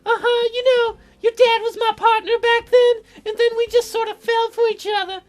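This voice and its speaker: A woman's voice: very high-pitched, level tone, moderate pace, soft background music, pitched above the performer's own voice. A woman voicing a cheerful, frank mother character in a visual novel game.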